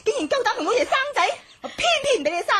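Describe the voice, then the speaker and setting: Shrill, vicious mistress of the house. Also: female voice, sing-song, rapid-fire, heavy background noise. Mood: furious. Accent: Hong Kong Cantonese. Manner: shrill, scolding. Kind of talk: radio drama acting.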